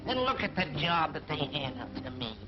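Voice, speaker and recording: An actor playing a brash, whiny young army private who gripes in slangy rhyme in a 1940s wartime cartoon. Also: male voice, fairly high pitch, big pitch swings, brisk. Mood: griping. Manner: complaining, slangy, sing-song rhyming delivery. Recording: dull 1940s film soundtrack, loud music.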